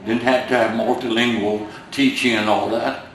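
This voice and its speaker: A man's voice: medium pitch, little pitch movement, moderate pace. An older man with a Finnish-American accent, Finnish as his first language, reminiscing hesitantly in an oral history interview, with many fillers and restarts. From United States. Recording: roomy, distant, noisy home recording.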